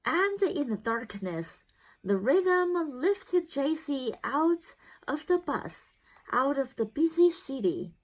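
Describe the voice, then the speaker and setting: A woman reading a children's storybook aloud in expressive, deliberately phrased narration. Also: high voice, rough recording. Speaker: storybook reader.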